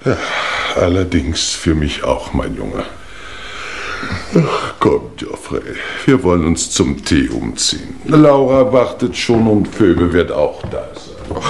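An actor playing a weary, tipsy old English lord and uncle in a 1950s German radio play, halting and ponderous. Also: male voice, low voice, big pitch swings, creaky, a little hiss or hum, exaggerated voice. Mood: wry, weary. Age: older.

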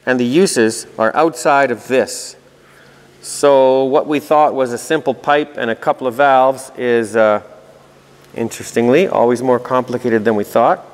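Male explainer host, mid-range voice, talks through technical diagrams conversationally with dry asides, recorded with a long reverberant tail. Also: medium pitch. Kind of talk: informal technical walkthrough of diagrams, partly read aloud. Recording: reverberant room with long echo.

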